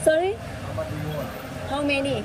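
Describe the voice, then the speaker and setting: A woman's voice: high-pitched, fairly even intonation, extremely slow, very noisy. A woman in her twenties chatting playfully as she films a travel vlog on a phone held at arm's length, first in a hotel room and then in a market. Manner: chatty, playful, exclaims over things. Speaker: travel vlogger. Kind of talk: casual travel vlog chat. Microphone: phone camera at arm's length.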